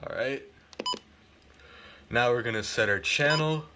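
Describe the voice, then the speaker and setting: A man's voice: medium pitch, a little slow, background noise. A man talking informally as he hosts a hobbyist unboxing and setup video. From United States. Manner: informal, occasionally swearing. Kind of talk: casual unboxing and setup demo.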